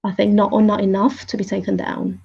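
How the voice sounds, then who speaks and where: A woman giving thoughtful, conversational interview answers. Her voice is gravelly and dark, with a fairly even melody. She feels her way through her points a little hesitantly, on a slightly dull recording. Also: medium pitch, fairly quick. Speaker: interview guest, open-source intelligence researcher.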